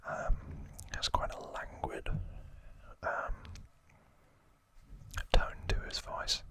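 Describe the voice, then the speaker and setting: A man whispering in a soft, breathy, deliberate way as he hosts ASMR trivia, moving between reading and casual asides. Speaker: host of an ASMR channel.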